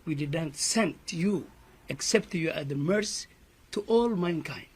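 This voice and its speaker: High-voiced Somali-accented imam. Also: male voice, animated, measured pace, slightly rough. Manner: earnest, repetitive, many "you know" fillers. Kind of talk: press conference statement.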